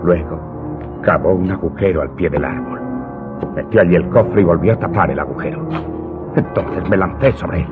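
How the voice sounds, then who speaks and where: A deep-voiced, vengeful Corsican manservant played by a male actor confesses to his master in a radio drama. He recounts his tale slowly and gravely, with emphatic flares of passion. The sound is muffled and lo-fi, with prominent music beneath his words. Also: natural voice. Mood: tense recounting. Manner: deliberate, dramatic storytelling with emphatic outbursts. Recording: dull, muffled audio with loud music underneath.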